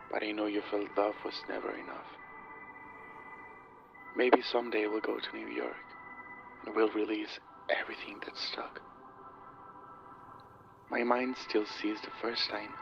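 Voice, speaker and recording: A man's voice: fairly low pitch, creaky. A man reading spoken-word poetry as a recited piece. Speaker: poetry reader. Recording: dull sound under prominent music. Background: music.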